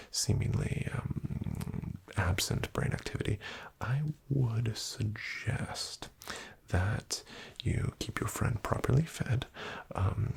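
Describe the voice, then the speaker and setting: Slow, gravelly soft-spoken man. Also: fairly low pitch. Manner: slow, gentle, half-whispered with hesitant fillers. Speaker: ASMR roleplay doctor. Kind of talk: soft-spoken ASMR roleplay.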